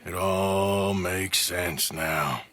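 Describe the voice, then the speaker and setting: A man's voice: deep voice, very slow, a bit bright, full character voice. An American actor playing an embattled, bitter outlaw gunman in an intense video game drama. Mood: bitter, accusing.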